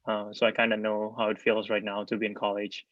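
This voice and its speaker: A man's voice: fairly low pitch, completely flat delivery, brisk, fairly bright tone, no room sound. Man, grew up in Indonesia, thirties, rambling, filler-heavy, dull dry sound. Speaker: software engineer guest speaker. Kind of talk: casual career talk on a video call.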